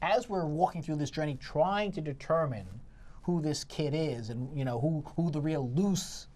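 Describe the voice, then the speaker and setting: A man's voice: on the high side, normal speed, a little mellow. A middle-aged man, casually discussing and arguing a review as a critic and co-host, in an insistent manner. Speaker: theatre critic, show co-host.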